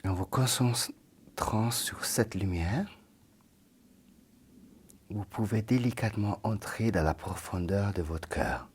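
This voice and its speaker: A man guiding a yoga nidra session in a very breathy, husky, low voice. He speaks slowly and calmly, and his lines often sink into a gentle half-whisper. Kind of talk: guided meditation.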